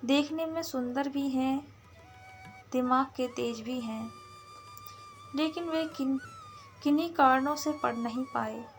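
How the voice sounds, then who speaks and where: A woman with a high voice reads a story aloud at a very slow pace. Her delivery is halting, often pausing in the middle of phrases. Light music plays behind the narration. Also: a bit bright. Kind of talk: scripted story narration in Hindi storytelling manner. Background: background music.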